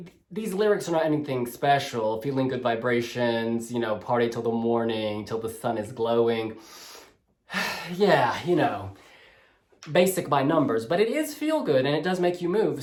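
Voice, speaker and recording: A man with a lively, swooping delivery, sassy and gushing as he reviews songs for his music blog. His voice sits at a middle pitch and bounces all over the place. The sound has a noticeable roomy echo. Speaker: music blog host reviewing song demos. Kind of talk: casual video review. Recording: roomy echoey room.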